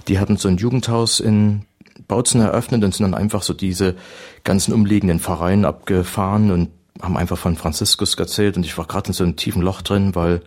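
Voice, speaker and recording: Saxon accent guy, middle-aged priest, telling his life story on radio, deep voice, lots of ums and restarts. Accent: Saxon German. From Saxony, Germany. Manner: lively storytelling with many fillers and self-corrections. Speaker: priest giving a talk. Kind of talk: radio talk, personal testimony. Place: radio studio.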